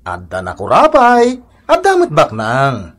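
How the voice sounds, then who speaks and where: A man playing a poor, resigned husband and father in a radio comedy drama, sighing and philosophising, with an Ilocano accent and a husky voice. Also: medium pitch, wildly swooping pitch, a little slow, a bit bright. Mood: earnest.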